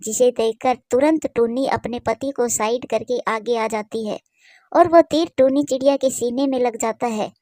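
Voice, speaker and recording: High-pitched woman narrating a cartoon story. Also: normal speed, warm tone, no room sound, studio quality.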